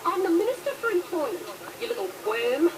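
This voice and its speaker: An actress playing an arrogant female government minister who is shrill and imperious. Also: big pitch swings, a little fast, background noise.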